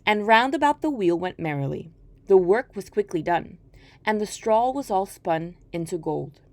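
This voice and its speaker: A woman storyteller reading a folktale aloud in an expressive, scripted narration style. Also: medium pitch, normal speed, no echo.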